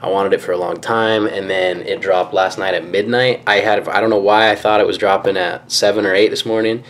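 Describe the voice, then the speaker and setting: Young male vlogger, low easygoing voice, slangy, noisy camera mic. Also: steady tone, brisk, a bit bright. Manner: laid-back, slangy. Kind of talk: casual vlog talk to camera. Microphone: camera at arm's length.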